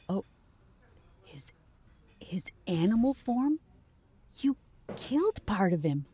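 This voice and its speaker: A woman's voice: mid-range voice, big pitch swings, very slow, pauses often, warm tone, husky, full character voice. A woman playing a concerned, questioning girlfriend in a supernatural audio drama, earnest and probing. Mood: worried, probing.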